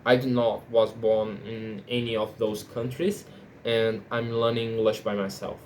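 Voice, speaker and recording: A Brazilian man speaking English as a second language, his first being Portuguese, in an unscripted monologue, often halting and restarting his sentences. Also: on the low side, little pitch movement, a little hiss or hum. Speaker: language learner vlogger.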